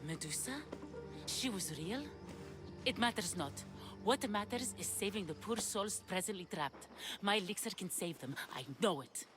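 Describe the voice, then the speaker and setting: A woman's voice: mid-range voice, expressive, moderate pace, over music, natural voice. A woman playing an obsessive, earnest alchemist in a video game, with an intense, precise manner. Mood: determined. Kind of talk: video game cutscene acting.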